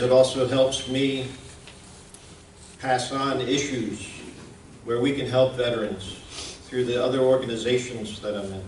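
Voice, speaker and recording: A man giving an informal presentation, speaking in a plain-spoken, folksy, halting way. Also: mid-range voice. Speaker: presenter, radio station founder. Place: indoor museum event room. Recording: roomy, distant mic, clear background noise.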